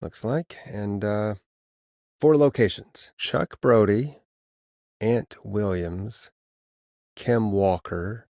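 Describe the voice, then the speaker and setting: An actor voicing a wry, harried late-night radio DJ in a video game, with a dry, sardonic and expressive delivery. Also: male voice, on the low side, very slow, pauses often, no echo. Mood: grave, composed. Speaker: radio show host. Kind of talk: video game voice acting, radio patter and reactions.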